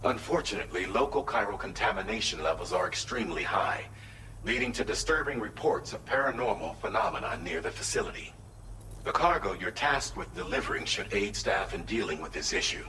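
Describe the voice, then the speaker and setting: An actor playing a businesslike mission dispatcher, briefing the player in a crisp, informative way. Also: male voice, fairly low pitch, lively intonation, a little fast, close to an everyday voice. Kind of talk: video game mission briefing. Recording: echoey game audio, faint hiss.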